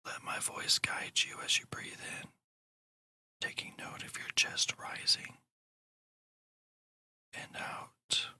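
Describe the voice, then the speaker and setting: Casting: ASMR meditation guide who whispers throughout, crisp and breathy, with slow, deliberate, soothing delivery. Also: pushed and bright. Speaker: ASMR channel host and meditation narrator.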